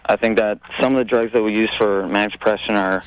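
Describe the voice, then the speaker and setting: A young man calling a radio show, talking in an earnest, halting, rambling way about himself. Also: on the low side, steady tone, clear, resonant tone, dry recording, highs cut off. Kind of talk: personal call-in conversation.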